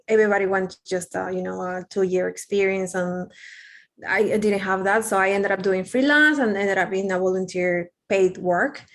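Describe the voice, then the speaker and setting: Peruvian woman chatting candidly. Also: medium pitch. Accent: Peruvian Spanish. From Peru. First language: Spanish. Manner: candid, rambling with fillers and restarts. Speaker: guest. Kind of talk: casual interview.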